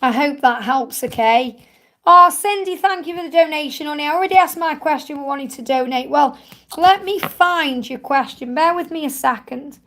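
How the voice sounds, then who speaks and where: A woman with a slightly croaky voice hosting a live spiritual card-reading stream, gently reassuring viewers and calling them honey. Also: high-pitched, moderate pace.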